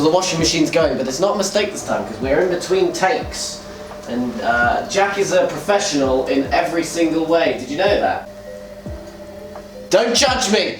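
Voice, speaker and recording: A young man who hosts a fan community show. He talks in a casual, self-mocking way, laughs often, and sounds a little nasal from a cold. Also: fairly high pitch. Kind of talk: casual solo video chat.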